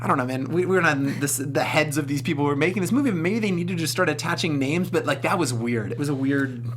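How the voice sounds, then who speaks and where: A man co-hosts a casual movie chat at a very quick pace. The delivery is informal and slangy, often checking that others agree. A faint hum and some noise run behind it. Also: a little high. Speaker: talk show co-host. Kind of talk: casual film review chat. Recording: noisy room with electrical hum.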